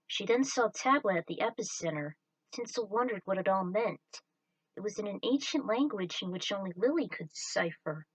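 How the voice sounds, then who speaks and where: Female storyteller, mid-pitched voice, scripted narration of an adventure tale. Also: poor audio. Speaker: narrator reading own fan fiction.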